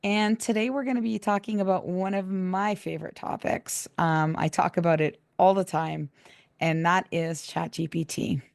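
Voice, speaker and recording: A woman hosting a podcast in casual chat, her voice raspy and hoarse from laryngitis, chatty and laughing often. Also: on the low side, steady tone, normal speed, a little mellow, no echo, studio quality. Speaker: podcast host.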